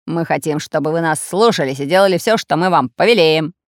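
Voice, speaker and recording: A woman voicing a red-bearded male pirate captain, a gloating storybook villain with a hoarse, barking voice. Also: deep voice, no room sound, studio quality. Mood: bossy. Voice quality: hoarse, raspy. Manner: barking, gloating, drawn-out menace.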